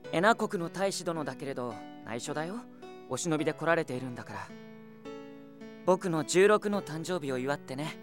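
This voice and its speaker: Gentle, expressive teenage boy prince. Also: low-pitched, moderate pace, soft background music. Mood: light, teasing. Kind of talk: Japanese anime audio drama acting.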